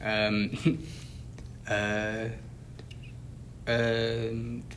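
A man interviewing two students, asking questions in a relaxed way with many pauses and fillers. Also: lowish voice, a little hiss or hum. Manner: relaxed, many ums and laughs. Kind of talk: casual interview.

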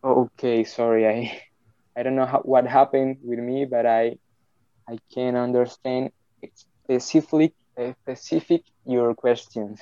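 A man from Colombia, a native Spanish speaker, answering interview questions in halting English with many restarts. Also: mid-range voice, fairly even intonation, dark tone, no echo, lo-fi sound. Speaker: English student.

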